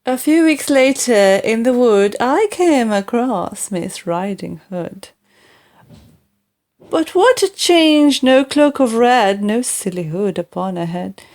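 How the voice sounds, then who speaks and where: A woman reading comic rhyming verse for children as scripted narration, with theatrical, deliberate phrasing. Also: on the high side, animated, darkish tone. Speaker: storybook reader.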